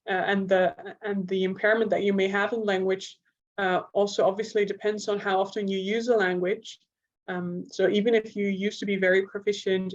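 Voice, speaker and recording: A woman from the Netherlands, a native Dutch speaker with a Dutch accent, giving a semi-spontaneous academic talk as a clinician, explaining with examples. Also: mid-range voice. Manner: explanatory, illustrates points with examples. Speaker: clinical neuropsychologist giving a lecture. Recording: dull, lo-fi audio.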